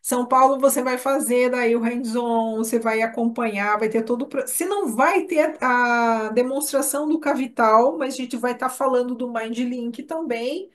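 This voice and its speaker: Higher-pitched Brazilian woman, chatty. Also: normal speed, hardly any pauses. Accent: Brazilian Portuguese. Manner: chatty, addresses listeners by name. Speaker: dental course instructor. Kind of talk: informal live webinar talk to attendees.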